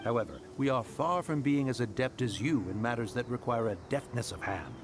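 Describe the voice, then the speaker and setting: Stately, animated male immortal played by an actor. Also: medium pitch, fairly quick, natural voice. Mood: courteous. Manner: ornate, formal, stately. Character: courteous, lofty ancient male immortal. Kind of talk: fantasy game cutscene acting. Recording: music behind.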